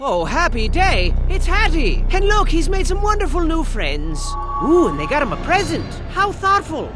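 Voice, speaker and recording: Excitable high-pitched cartoon storyteller. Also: male voice, moderate pace, heavily acted. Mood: playful, sardonic. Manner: mock-grand, dramatic swings between hushed coziness and yelling. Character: excitable, mischievous male storyteller addressing children as gentlemen. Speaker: narrator. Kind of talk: theatrical comic storybook narration for a cartoon video game. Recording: music under the voice.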